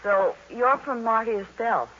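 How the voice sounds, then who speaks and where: An actress playing a cool, tough, sardonic femme fatale in an old-time radio noir drama. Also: female voice, fairly high pitch, normal speed, fairly bright tone, natural voice. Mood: cool, wary. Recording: hissy, dull vintage radio recording.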